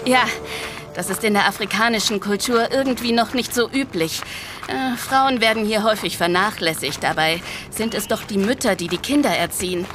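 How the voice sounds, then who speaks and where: A woman's voice: mid-range voice, normal speed, music in the background, close to an everyday voice. A woman playing a friendly, concerned nun in an audio drama, explaining things in a conversational, slightly hesitant way. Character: friendly, concerned Nigerian nun working for peace. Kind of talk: interactive audio drama dialogue.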